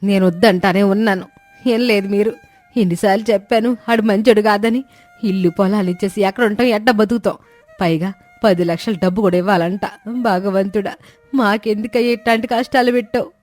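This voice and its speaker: A woman's voice: medium pitch, steady tone, fairly quick, darkish tone, natural voice. A woman playing a fretful older village mother and wife in a family story. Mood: lamenting. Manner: fretful, lamenting. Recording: music bed under the voice. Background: background music.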